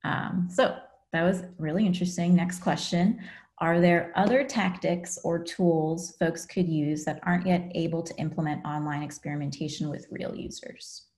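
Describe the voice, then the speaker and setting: A woman's voice: fairly low pitch, fairly even intonation, moderate pace, a little mellow. Curious woman moderator on a video call. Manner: curious, affirming, frequent backchannels. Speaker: panel moderator.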